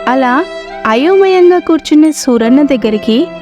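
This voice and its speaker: A woman's voice: high voice, lively intonation, a little slow, highs cut off. A woman storyteller narrating a scripted moral tale. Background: faint background music.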